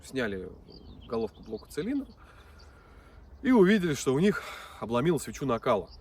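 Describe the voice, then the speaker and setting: A male mechanic casually introducing his repair video, relaxed and rambling as he tells the story. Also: medium pitch, fairly quick, recorded from a distance, a little hiss or hum. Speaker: auto mechanic, channel host.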